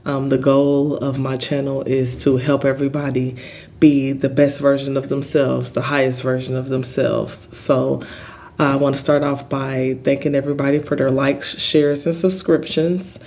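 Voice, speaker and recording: A middle-aged man hosting a spiritual healing channel, talking in an earnest, halting way with lots of filler ums and a big voice. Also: mid-range voice, flat delivery, vocal fry, darkish tone, a little hiss or hum. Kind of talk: spiritual healing vlog monologue.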